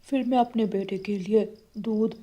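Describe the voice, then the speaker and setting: A woman's voice: mid-range voice, fairly even intonation, unhurried, dark tone, dull audio, natural voice. A woman voicing an earnest, hardworking father sparrow in a cartoon story, with a plaintive manner. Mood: tender, determined.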